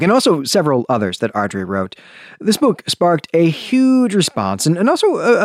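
A man's voice: on the high side, no echo, clean studio sound. A man hosting a podcast, giving semi-scripted literary analysis in an emphatic, explanatory way, often checking in with the listener. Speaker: podcast host.

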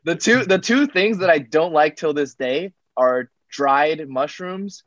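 A man's voice: on the high side, unhurried, fairly bright tone, no echo, lo-fi sound. A young man from Taiwan, a podcast guest chatting casually and joking, with many fillers and restarts. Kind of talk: casual interview chat.

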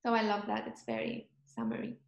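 Teen girl on a video call, kinda monotone, lots of ums while she thinks, roomy echo. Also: on the high side, a little fast, gravelly. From Cyprus. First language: Greek. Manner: thoughtful, searching for words, frequent ums. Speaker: interviewee. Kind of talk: casual chat.

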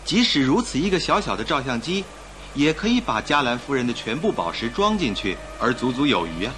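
An actor playing a suave, confident young gentleman with an emphatic, persuasive delivery in a Chinese radio drama. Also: medium pitch, very animated, brisk. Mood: assured, scornful. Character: suave confident young gentleman passenger, admiring a famous thief. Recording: radio drama with faint music behind.

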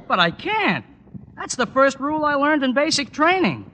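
An actor playing a naive, rule-bound young military messenger, earnest and literal, in a 1950s radio sitcom. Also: male voice, very high voice, very animated, moderate pace, pushed and bright, highs cut off, heavily acted.

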